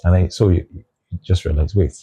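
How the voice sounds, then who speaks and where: Low husky Nigerian man, reflective. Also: steady tone, a little fast, warm tone. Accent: Nigerian English. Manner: reflective, thinking aloud. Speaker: guest. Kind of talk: casual interview chat.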